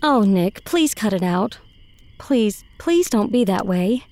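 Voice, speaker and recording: A woman's voice: medium pitch, expressive, slow pace, darkish tone. Earnest young woman in storybook dialogue, read by a woman. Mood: exasperated. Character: young woman, earnest, hurt, plain-spoken girlfriend. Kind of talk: audiobook character dialogue.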